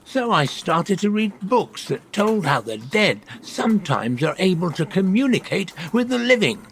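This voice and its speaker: An actor playing a gentle, earnest, grieving old widower with a creaky voice in a radio comedy. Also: male voice, high voice, very animated, unhurried, slight background noise, heavily acted. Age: older, about 70s. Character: grieving, trusting elderly widower shopkeeper.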